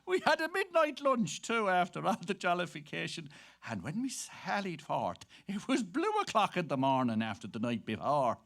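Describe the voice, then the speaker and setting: A man's voice: high voice, wildly swooping pitch, moderate pace, gravelly, fairly bright tone, exaggerated voice. An actor with an Irish accent playing a jovial, bawdy Dublin man-about-town, chuckling through a theatrical stage reading. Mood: gleeful, boisterous. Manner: gleeful, chuckling, theatrical storytelling. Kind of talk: animated dramatic reading on stage.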